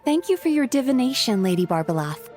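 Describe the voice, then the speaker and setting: An actress playing a gentle, polite, thoughtful young professional woman in a video game. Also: a little high, sing-song, a little fast. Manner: polite, thoughtful, soft-spoken. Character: gentle, polite young female psychologist and aspiring writer. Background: music.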